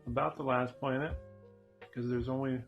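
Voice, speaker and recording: A man's voice: medium pitch, fairly even intonation, moderate pace, gravelly, muffled recording, faint music behind. A man giving a casual, hesitant explanation of a book as its author.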